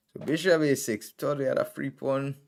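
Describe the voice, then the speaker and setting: A man's voice: medium pitch, steady tone, unhurried, vocal fry. A man from Trinidad and Tobago with a Trinidadian accent, streaming live and thinking aloud as he reviews chess games move by move. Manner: thinking aloud, calling out moves in short bursts.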